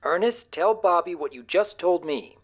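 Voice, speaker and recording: A woman playing an inquisitive, businesslike team leader in an audio drama, probing and brisk. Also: lowish voice, expressive, muffled recording.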